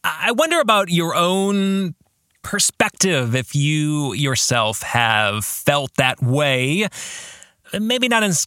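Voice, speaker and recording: Male podcast host and author, earnest and reflective, unhurried delivery, bright tone. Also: on the high side, no room sound, studio quality. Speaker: podcast host and author discussing his book. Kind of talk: reflective book commentary, addressing the listener directly.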